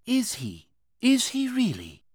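A man's voice: very high-pitched, huge pitch swings, slow, husky, dry recording, studio quality, voice pushed up, heavily acted. A man voicing a fearful older Chinese minister who pleads urgently with a colleague in an audiobook.

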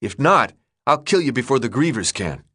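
A man's voice: medium pitch, sing-song, normal speed, sharp tone, husky, full character voice. A man voicing a tough, confident teenage boy with dry humor and a terse, brash delivery in an audiobook. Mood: brash, threatening. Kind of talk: audiobook character dialogue.

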